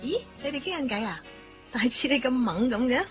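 A woman's voice: sits high, expressive, brisk, highs cut off, soft background music. An actress with a Hong Kong Cantonese accent playing a breezy, sociable young girlfriend in a radio drama, chatty, sprinkling in English words.

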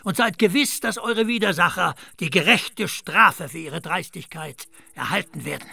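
A man's voice: high-pitched, moderate pace, gravelly, bright, forceful tone, husky, no echo, full character voice. An actor playing a sly innkeeper who humours a madman in a German radio play, with a mock-solemn, wheedling manner. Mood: fawning, hurried.

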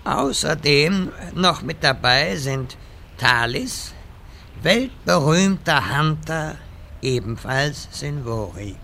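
A man playing a theatrical, secretive quest-giver in a comedic audio drama parody, speaking in a ceremonious, deliberate way. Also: a little high, very animated, creaky, sharp tone, a little hiss or hum, exaggerated voice.

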